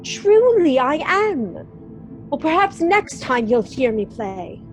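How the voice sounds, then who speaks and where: A sweet young widow with a high, delicate pitch. She speaks very slowly and coyly, in the manner of old-time radio melodrama. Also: very animated, soft background music, exaggerated voice, poor audio. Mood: coy, apologetic. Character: sweet, demure young widow and bride hiding coyness.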